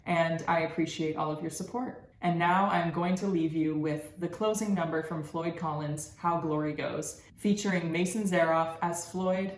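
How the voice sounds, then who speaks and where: Young female podcast host for a heartfelt thank-you speech, low-pitched, roomy sound with light noise. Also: fairly even intonation, moderate pace, hardly any pauses. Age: young adult. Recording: roomy echo, faint background noise.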